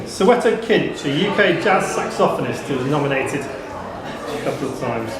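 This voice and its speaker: A man's voice: medium pitch, animated, brisk. A man hosting a live pub quiz, reading questions and chatting off the cuff with the players. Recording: echoey noisy pub, crowd chatter.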